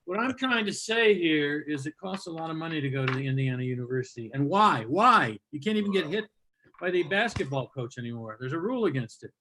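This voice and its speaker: A man's voice: fairly high pitch, expressive, brisk, sharp tone, a little hiss or hum, low-quality recording. A man hosting a live comedy show over a video call, riffing in a sardonic, rambling, mock-hostile way before introducing the next act. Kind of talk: stand-up banter and introductions.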